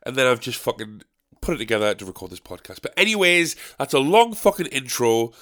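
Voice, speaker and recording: Man, nasal, gravelly, getting over a cold, sweary, rambling podcast host. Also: mid-range voice, big pitch swings, brisk, a bit bright. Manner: sweary, rambling, self-mocking. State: recovering from a cold. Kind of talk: casual solo podcast chat. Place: dark bedroom at a desk. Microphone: desk microphone, badly set up.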